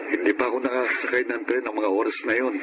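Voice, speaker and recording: A man's voice: medium pitch, level tone, fast, noisy background, lightly acted. A man playing a caring, sensible young family friend in a Filipino melodrama, earnest in his delivery. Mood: concerned.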